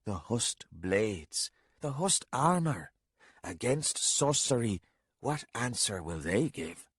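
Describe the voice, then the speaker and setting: Man doing gruff old castellan, low and slow, patient, dry close sound. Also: sing-song, no echo, heavily acted. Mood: grave.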